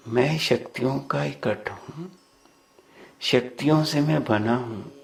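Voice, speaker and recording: A man with a Punjabi accent leading a guided meditation of prayerful affirmations, deliberately repeating phrases for listeners to follow. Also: medium pitch, vocal fry, darkish tone. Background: background music.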